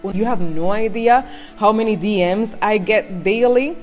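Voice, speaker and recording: Cuban woman, 40s, Jamaican-tinged Spanish accent, mid-pitched, earnest monologue over faint music. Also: unhurried. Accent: Cuban Spanish mixed with Jamaican Patois. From Cuba. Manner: earnest, pleading, repeats phrases for emphasis. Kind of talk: personal monologue to camera.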